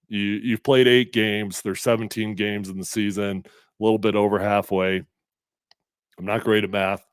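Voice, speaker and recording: A middle-aged man hosting casual sports talk, a little congested, energetic and emphatic as he reads comments and makes predictions. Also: on the low side, no echo, clean studio sound. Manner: energetic, conversational, emphatic with fillers. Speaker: sports radio host previewing a game.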